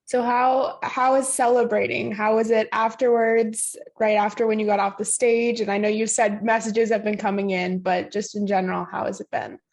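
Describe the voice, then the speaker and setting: A woman's voice: mid-range voice, little pitch movement, moderate pace, creaky, few pauses, darkish tone. A woman interviewer asking loose, casual questions, filled with "like" and "you know".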